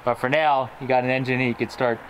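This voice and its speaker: A man's voice: mid-range voice, level tone, noisy background. A man hosting a shop tour, eagerly reacting and asking questions about the cars. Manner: enthusiastic, admiring, quick reactions. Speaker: channel host. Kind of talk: casual shop tour chat.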